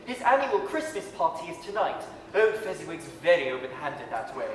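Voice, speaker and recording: A man playing a shy, smitten young apprentice, halting and courteous, in a staged 1930s-style radio drama. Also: a little high, sing-song, a crowd in the background. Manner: halting, flustered, courteous stammer. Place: performing arts center stage. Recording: echoey hall, distant, faint noise.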